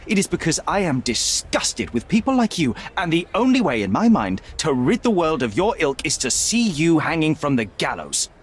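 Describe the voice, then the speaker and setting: An actor playing a stern young detective in a video game, cold and righteous, speaking in a clipped, accusatory, sardonic manner. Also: male voice, fairly high pitch, big pitch swings, normal speed, few pauses, husky. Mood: disgusted, cold. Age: young adult. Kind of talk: video game cutscene acting.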